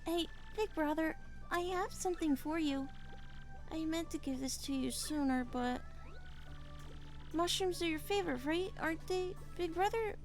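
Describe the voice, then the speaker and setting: A hoarse woman voicing a timid little creature girl who adores her big brother, speaking sweetly and pleadingly. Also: very high voice, normal speed, faint music behind, voice pushed up. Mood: doting.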